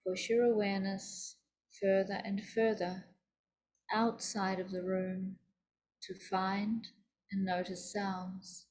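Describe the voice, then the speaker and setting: Slow, even-toned woman guiding meditation. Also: medium pitch, low-quality recording. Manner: soothing, deliberate, instructive. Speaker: yoga nidra teacher. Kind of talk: guided meditation.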